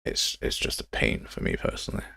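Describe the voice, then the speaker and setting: A man's voice: brisk, sharp tone, no room sound, clean studio sound. A man hosting a casual livestream, grumbling and thinking aloud as he goes. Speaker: livestream host. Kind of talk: casual livestream commentary while browsing software assets.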